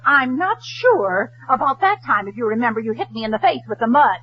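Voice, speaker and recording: An actress playing a coy young woman suing over a mud splash, in a 1940s radio comedy, speaking slowly and sweetly. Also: on the high side, expressive, slightly rough. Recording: muffled old radio broadcast with loud hiss.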